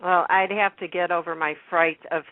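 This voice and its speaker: A woman's voice: lowish voice, fairly even intonation, moderate pace, no room sound. A woman interviewing casually and informally. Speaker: interviewer, co-host. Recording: dry, band-limited phone-like radio audio.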